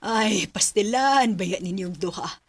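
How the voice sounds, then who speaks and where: A woman's voice: mid-range voice, a little slow, slightly rough, dry recording. An actress playing an exasperated, scolding mother in a Bisaya radio drama, with a Cebuano Filipino accent.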